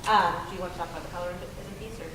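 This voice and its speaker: A woman's voice: fairly low pitch, fairly even intonation, very fast. A woman facilitating a community workshop discussion, engaged and affirming, often checking in with "right?". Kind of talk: leading a group discussion. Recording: echoey room, distant mic, faint noise.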